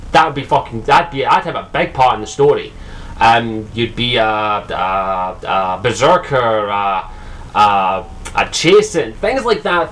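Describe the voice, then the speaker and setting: A man's voice: mid-range voice, fairly bright tone, a little hiss or hum, low-quality recording. A young man from Scotland with a Scottish accent, talking casually and profanely about a game, full of ums and restarts. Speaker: gaming vlogger. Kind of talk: casual solo commentary.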